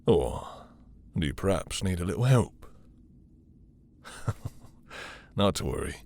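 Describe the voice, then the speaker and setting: A man playing a possessive, dominant vampire lord in intimate roleplay audio, with a husky, breathy voice and a teasing, seductive, condescending manner. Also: low-pitched, a little mellow, exaggerated voice. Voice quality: husky, breathy, dropping into whispers.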